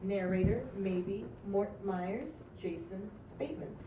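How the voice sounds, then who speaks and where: A woman's voice: mid-range voice, measured pace. A woman reading script stage directions aloud at an amateur table read. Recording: muffled, echoey, distant, noisy mic.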